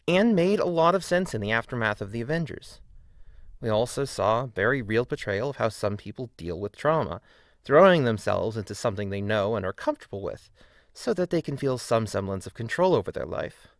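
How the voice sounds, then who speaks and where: Male essay narrator, mid-pitched, argumentative and emphatic, with plenty of melodic variation. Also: gravelly, dry recording, clean studio sound. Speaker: video essayist. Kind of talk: scripted video essay narration.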